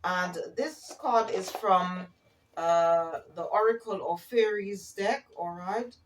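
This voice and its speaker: A woman giving a spiritual card reading in a halting manner, often checking in with the listener as she reads and improvises. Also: mid-range voice, slight background noise. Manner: hesitant, halting, often checking in with okay and all right. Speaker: oracle card reader and spiritual guide. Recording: roomy echo, distant from the mic.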